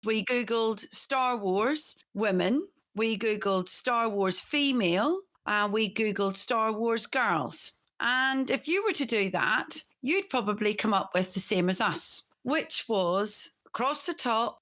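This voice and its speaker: A woman's voice: on the high side, lively intonation, slow, few pauses, a bit bright. Chatty Northern Irish woman in her forties. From Northern Ireland. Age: 40s. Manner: chatty, enthusiastic, rambling. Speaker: podcast co-host. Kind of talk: casual podcast chat.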